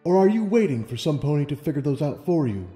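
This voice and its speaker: A man plays a stately pony monarch from a storybook. The voice is mellow and deliberate, calm and wise, gently probing as it goes. It carries in a long, echoing hall reverb. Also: on the high side, soft background music, voice pushed up. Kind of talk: audiobook character reading.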